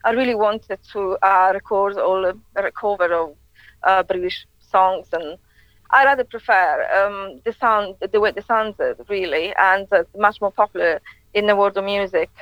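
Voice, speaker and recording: An Italian-accented woman speaks haltingly, often stopping and restarting her sentences. Her voice sits at an ordinary middle pitch. It comes through dull lo-fi radio audio that sounds dry and close. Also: dry recording. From Italy. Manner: halting, full of hesitations and restarts. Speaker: singer-songwriter guest. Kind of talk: radio interview answers.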